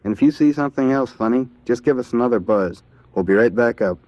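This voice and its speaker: A man with an American accent answering in a scripted 1940s radio interview as a Navy seaman, in a plain, earnest, measured way. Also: mid-range voice, a little mellow, no room sound, lightly acted. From Ohio, United States. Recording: dull vintage 1940s radio recording.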